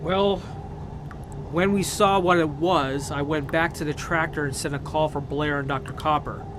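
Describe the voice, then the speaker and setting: An actor playing an earnest male expedition mechanic, deliberately recounting technical findings in a radio drama. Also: on the high side, fairly even intonation, noisy background, natural voice. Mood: earnest, regretful.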